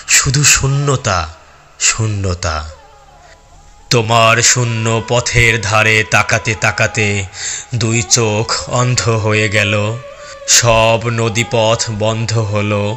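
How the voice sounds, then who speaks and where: Slow, low-voiced man reciting sad poetry. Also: bright, forceful tone. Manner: melancholic, deliberate with long pauses. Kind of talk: slow, solemn poem recitation. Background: music.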